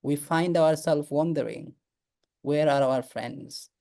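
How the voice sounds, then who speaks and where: A speaker slowly reciting a solemn poem, heard from a distant mic. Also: mid-range voice, creaky, dark tone.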